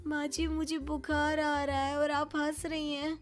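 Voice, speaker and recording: A woman's voice: sits high, monotone, a little slow, faint music behind, voice pushed up. A woman voicing an earnest young daughter-in-law, easily offended, in a story cartoon. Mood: weak, complaining.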